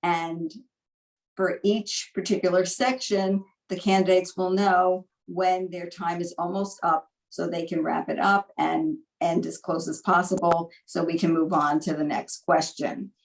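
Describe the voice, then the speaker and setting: A woman moderator announces debate rules in a measured, procedural way. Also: on the low side, flat delivery, vocal fry, far from the mic, poor audio.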